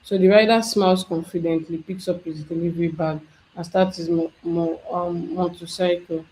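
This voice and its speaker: An instructor talking through a software tutorial step by step, thinking aloud as they work. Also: mid-range voice, steady tone, moderate pace, slight background noise, rough recording. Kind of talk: screen-share software tutorial.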